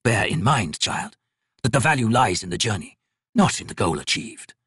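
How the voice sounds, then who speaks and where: A man voicing an ancient, sickly male god, speaking slowly and gravely with a sneer. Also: fairly low pitch, very animated, a bit bright, no room sound, clean studio sound, full character voice. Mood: cruel.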